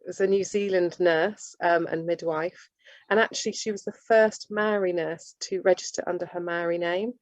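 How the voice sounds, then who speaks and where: A woman giving an informal lecture, halting and often restarting her sentences. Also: fairly low pitch, no echo. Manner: halting, with many restarts and self-corrections. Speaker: speaker giving a talk on nursing history. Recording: dull lo-fi dry recording.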